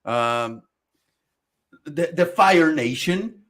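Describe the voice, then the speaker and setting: A man's voice: fairly high pitch, animated, very slow, a bit bright. A man co-hosting a podcast in casual chat, loose and profane, laughing easily as he talks. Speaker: podcast co-host. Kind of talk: casual chat reacting to anime dubs.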